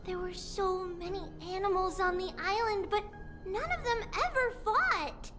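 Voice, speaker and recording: An innocent little girl speaks in a very high, small voice. She takes her time, telling things with simple, earnest wonder. It is game cutscene dialogue with music playing clearly underneath. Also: a little slow, a bit bright. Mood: excited, dreamy. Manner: earnest, childlike, simple wide-eyed storytelling. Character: innocent, chatty little girl, young priestess-to-be.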